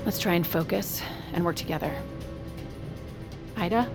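A woman's voice: fairly low pitch, normal speed, gravelly, over music. A woman playing a firm, pragmatic leader of trapped survivors in audio fiction, speaking in a directive manner. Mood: urgent, firm.

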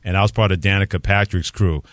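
Male radio host from Brooklyn, low register, very dry studio sound, folksy playful call-in chat that repeats phrases. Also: fairly even intonation, fast, no room sound. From Brooklyn, New York. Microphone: close studio microphone.

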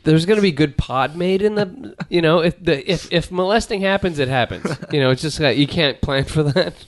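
Middle-aged male host, deadpan and rambling, dry close sound, garage podcast. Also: fairly high pitch, moderate pace, no echo. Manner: rambling, deadpan, trails off and restarts. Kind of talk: casual joking banter. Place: home garage studio.

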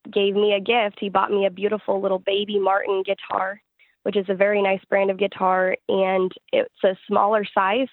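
A woman's voice: medium pitch, dry recording, phone-quality sound. A woman who sings and writes gospel music, answering interview questions in a conversational way. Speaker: interview guest, gospel singer-songwriter.

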